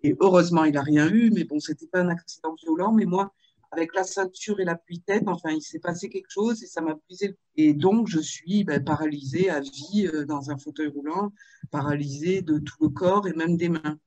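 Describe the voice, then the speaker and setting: A woman in her fifties from Marseille, telling her life story in a video-call interview, with frequent pauses and fillers. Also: deep voice, warm tone, dry recording, low-quality recording. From Marseille, France. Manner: hesitant, with many fillers and restarts. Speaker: author and interview guest.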